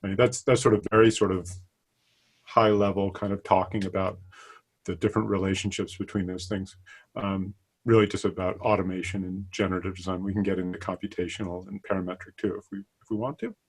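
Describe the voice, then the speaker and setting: A man's voice: low voice, level tone, fairly quick, slightly rough. A middle-aged man giving casual, loosely phrased explanations as an interview guest. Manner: loose, hedging with sort of and kind of. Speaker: guest expert, product line manager.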